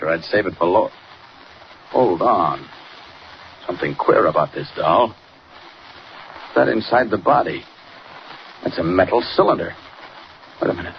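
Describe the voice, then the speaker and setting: An actor playing a confident, resolute superhero who muses aloud to himself, in 1940s radio style. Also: male voice, sits low. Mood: curious. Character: confident, resolute male superhero investigating alone. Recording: muffled, noisy 1940 radio recording.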